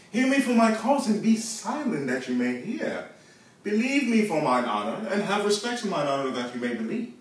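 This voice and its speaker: A man performing a classical stage monologue as a proud, solemn Roman nobleman, with declamatory, emphatic delivery. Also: sits high. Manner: declamatory, emphatic rhetorical delivery with big swells. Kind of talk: classical theatrical monologue, Shakespearean oratory. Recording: very echoey room, distant microphone.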